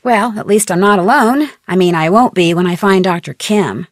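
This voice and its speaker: A woman's voice: medium pitch, moderate pace, no echo, studio quality, lightly acted. An American woman voicing an upbeat, clever young female detective in a video game, narrating earnestly with wry asides.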